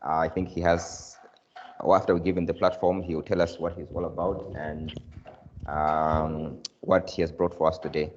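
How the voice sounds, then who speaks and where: A man with a very low, deep voice presents study results to a business gathering. The sound is muffled and dull, as though heard through a video call. He speaks in a measured way, leaning on fillers as he goes. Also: flat delivery, a little mellow, slight background noise. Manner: measured, with uh fillers and sort-of phrasing. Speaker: event host. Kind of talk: presenting findings, then introducing a speaker.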